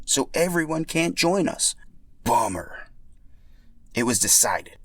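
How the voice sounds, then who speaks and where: A man's voice: sits low, a bit bright, no room sound. A man narrating a scripted story as a podcast storyteller.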